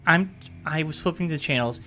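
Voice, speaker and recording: A man's voice: a little high, normal speed, pushed and bright, a little hiss or hum. A man in his thirties hosting a casual podcast, easygoing and a little rambling, often trailing off with "I guess.". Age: 30s. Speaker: podcast host.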